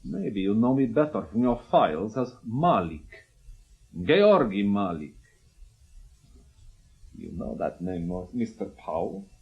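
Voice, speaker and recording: A man acting in a radio drama as a wary, probing Soviet KGB major, speaking formally and deliberately in clipped phrases. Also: fairly low pitch, very animated, vocal fry, muffled recording, heavily acted.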